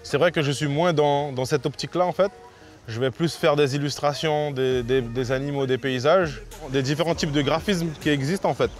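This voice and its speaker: A man from French Guiana speaking casually as an interviewed graffiti artist, in a halting way with repeated words. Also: a little high. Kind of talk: casual spoken interview about own work. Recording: loud music under the voice.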